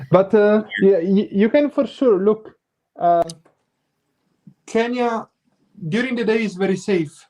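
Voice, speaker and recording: A man's voice: sits high, takes it slowly, pauses often, dark tone, rough recording. A man hosting a live call-in stream, friendly and affirming, laughing often with callers. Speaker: host.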